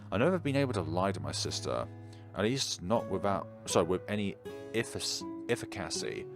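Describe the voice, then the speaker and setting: A man's voice: low-pitched, big pitch swings, a little fast. A man reading visual novel narration aloud dramatically, stumbling over words now and then and correcting himself. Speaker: video game streamer reading dialogue aloud. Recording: music behind the voice.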